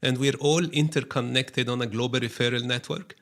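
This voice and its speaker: Man speaking in measured tones. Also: medium pitch, fairly even intonation, fairly bright tone. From Egypt. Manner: measured, repeats words while searching for phrasing. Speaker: guest, brokerage managing director. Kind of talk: business interview.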